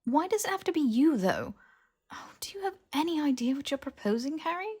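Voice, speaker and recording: A woman's voice: sits high, sing-song, brisk, darkish tone, voice pushed up. A woman voicing a sensible, bookish young witch with a precise, scolding, exasperated manner in an audiobook. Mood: incredulous. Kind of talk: fiction audiobook character voice.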